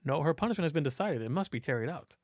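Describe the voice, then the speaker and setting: A man's voice: a little high, lively intonation, racing, no room sound, studio quality. Man putting on a haughty, stern duke. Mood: commanding. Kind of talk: visual novel character reading.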